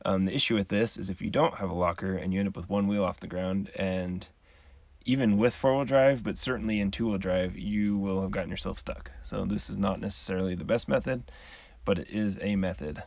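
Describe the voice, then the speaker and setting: Deep, gravelly man explaining step by step. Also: no echo. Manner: calm, methodical, measured explaining. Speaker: channel host. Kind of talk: explanatory voice-over tutorial.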